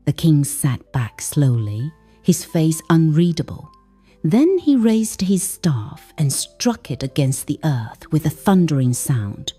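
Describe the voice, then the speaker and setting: A woman's voice: low-pitched, sing-song, slow pace, dark tone, slightly rough, faint music behind. A woman narrating a folktale in a dramatic, scripted storytelling style.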